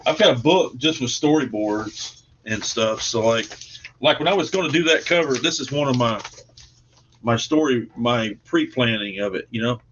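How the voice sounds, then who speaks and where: A man's voice: medium pitch, expressive, moderate pace, a bit bright, a little hiss or hum. Casual man on dull lo-fi audio. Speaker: guest comic book artist. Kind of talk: casual chat.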